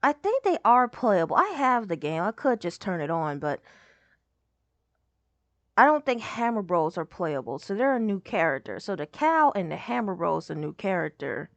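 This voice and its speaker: A young woman with a hoarse voice, rambling casually as she reacts to a game showcase video. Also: fairly low pitch, sing-song, normal speed, no echo. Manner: rambling, lots of "like" and trailing off.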